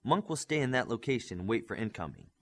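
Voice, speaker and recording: A guy reading as young superhero team leader, earnest and commanding, brisk emphatic briefing, lively up and down pitch. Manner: emphatic, briefing-style delivery. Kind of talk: audiobook character dialogue.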